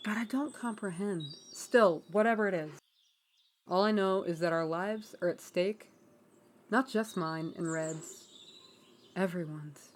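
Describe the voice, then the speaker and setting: An earnest young rebel princess from a fantasy audio drama, acted out by a woman. She speaks in a measured, polite way, diplomatic and reassuring, with a streak of gentle exasperation. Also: lowish voice, a little hiss or hum, voice pushed down, natural voice. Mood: grave. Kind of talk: fantasy audio roleplay acting.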